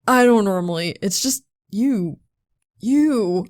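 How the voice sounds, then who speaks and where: A woman voicing an embarrassed young male college student in a halting, rambling, gruff way for a story reading. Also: mid-range voice, expressive, darkish tone, no room sound, clean studio sound. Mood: agitated, embarrassed.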